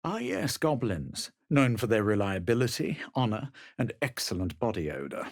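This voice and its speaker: A smug fantasy adventurer for a video game, lively pitch, really dry close recording, sardonic and superior. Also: male voice, dry recording. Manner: measured, superior, dry delivery. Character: haughty male fantasy adventurer, self-assured and dryly sardonic. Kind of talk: video game voice lines, narration and spell shouts.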